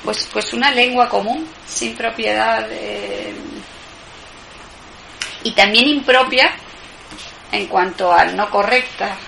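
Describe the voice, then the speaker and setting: A woman's voice: medium pitch, slow pace, vocal fry, long pauses, pushed and bright. A woman with an Argentine accent, a poet talking thoughtfully about her work as an interview guest, often searching for words. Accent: Argentine Spanish. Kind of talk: reflective conversation. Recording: echoey room, background noise.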